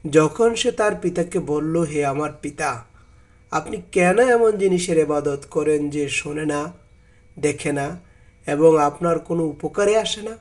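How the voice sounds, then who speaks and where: Man, religious narrator, slightly high pitch, slow deliberate delivery, explanatory scripture reading.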